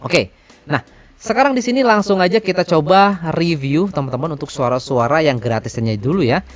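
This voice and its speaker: A man's voice: fairly high pitch, lively intonation, normal speed, highs cut off. A man hosting a casual product demo and review. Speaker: reviewer and tutorial host. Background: faint music.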